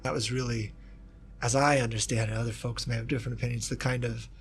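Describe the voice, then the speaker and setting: A man from Kentucky, a historian of East Asia, talking about his research in a relaxed interview, with many asides and restarts. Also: medium pitch, quick pace, faint music behind. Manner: thoughtful, rambling with asides and restarts. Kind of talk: casual reflective interview talk.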